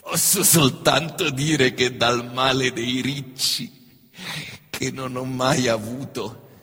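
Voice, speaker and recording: A man's voice: mid-range voice, steady tone, slow, sharp tone, long reverb tail. A male stage actor giving an intense, declamatory solo performance of literary texts in a theatre.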